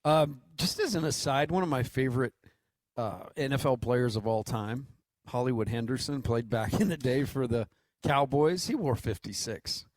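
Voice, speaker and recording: A man's voice: medium pitch, normal speed, no room sound. An American man co-hosting sports radio, talking casually and explaining things in a conversational, reassuring way. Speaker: radio co-host.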